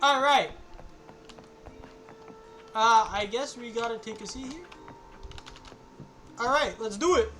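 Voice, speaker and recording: A woman's voice: a little high, slow, pauses often, fairly bright tone, faint music behind, low-quality recording. A woman streaming a video game, giving casual live commentary.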